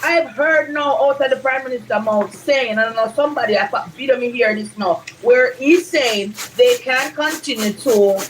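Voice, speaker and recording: A woman on a live stream, explaining in a measured way. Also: fairly high pitch, slight background noise, poor audio. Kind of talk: casual live-stream chat.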